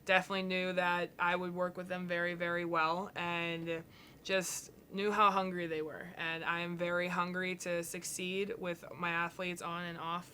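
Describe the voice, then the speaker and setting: A young woman with a Minnesotan accent talks about her coaching in an upbeat, chatty way. Her voice is lowish and fairly flat in pitch but bright and sharp. Faint noise hums behind her. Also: moderate pace. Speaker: head track coach. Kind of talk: casual interview answers.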